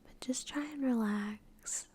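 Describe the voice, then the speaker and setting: A woman whispering gently and unhurriedly in a breathy voice, close to the microphone, guiding an ASMR meditation with long pauses. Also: high-pitched. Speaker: ASMR creator and meditation guide. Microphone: close microphone in front of the face.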